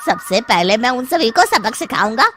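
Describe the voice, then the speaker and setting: Woman as vengeful cartoon thief posing as a ghost, menacing, drawn-out, very swoopy pitch, noisy background. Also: very animated, pushed and bright. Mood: gloating. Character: Kaliya, gloating vengeful male thief turned invisible, posing as ghost. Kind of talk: Hindi children's cartoon story acting.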